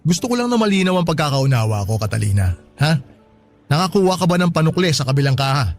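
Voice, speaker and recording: An actor playing a strict, suspicious store boss in a Tagalog radio drama, questioning in a stern manner. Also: male voice, on the high side, sing-song, quick pace. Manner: interrogating, stern. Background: music.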